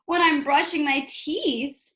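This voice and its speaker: High-pitched woman reading aloud to children. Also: drawn-out words. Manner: animated, instructive, asks rhetorical questions of young listeners. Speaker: teacher reading a picture book aloud to young children. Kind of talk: expressive read-aloud with explanatory asides.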